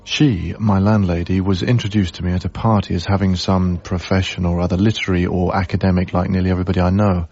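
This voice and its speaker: A man's voice: low voice, flat delivery, fast, hardly any pauses, darkish tone, slightly rough, lo-fi sound, soft background music. An actor playing a heartbroken, guarded young man, wry and reflective, in a radio drama. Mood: brooding, reflective. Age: young adult. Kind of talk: radio drama acting with intimate first-person narration.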